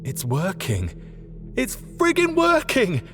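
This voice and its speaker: Man playing an eager, animated tinkering inventor. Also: sits high, takes it slowly, faint music behind, voice pushed up, full character voice. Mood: excited. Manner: enthusiastic, informal.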